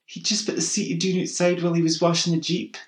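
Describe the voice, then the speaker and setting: A man voicing an older woman, a retired nurse and fussing neighbour, in a high, strained voice. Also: level tone, fast. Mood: soothing. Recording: roomy echo.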